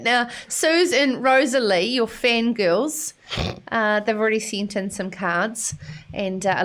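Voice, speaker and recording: A bubbly woman hosts a live country show. She keeps it chatty and teasing, with quick laughs between her links. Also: fairly high pitch, fairly bright tone. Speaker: host.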